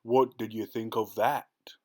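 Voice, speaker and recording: A man voicing a fierce, cruel, boastful ogre with a slow, booming roar. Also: little pitch movement, a little mellow.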